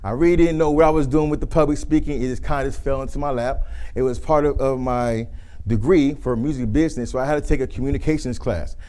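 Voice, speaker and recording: A man with a deep, mellow, dark-toned voice speaks in a relaxed, conversational way as a workshop presenter. He is in his thirties and drifts at times into quick demonstrations of flat versus lively delivery. His pitch sits in a middle range for a man. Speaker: speaker giving a communication workshop.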